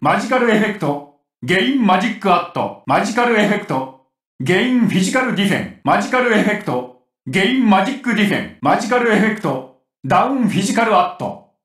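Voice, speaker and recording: A man playing a gruff, brash, rough-spoken warrior in a Japanese anime video game. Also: high voice, big pitch swings, fast talker, echoey room, recorded from a distance, exaggerated voice. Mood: focused, commanding. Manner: forceful, rough-spoken, cocky. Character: gruff, brash grown male warrior who loves cooking. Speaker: game voice actor.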